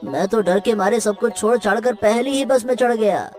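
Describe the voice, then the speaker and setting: A woman's voice: a little high, normal speed, darkish tone, faint music behind, lightly acted. A woman voicing a flustered, devoted young family man in a Hindi cartoon drama, repeating and stammering over words. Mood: panicked. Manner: breathless repetition, stammering.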